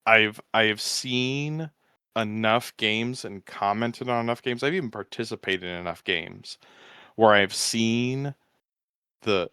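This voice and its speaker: A man guest talking easygoing and rambling about his commentary work, with a smooth voice. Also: lowish voice, pushed and bright, no echo, clean studio sound. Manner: easygoing, rambling, restarts with fillers. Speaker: podcast guest, tournament commentator. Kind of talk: casual interview chat.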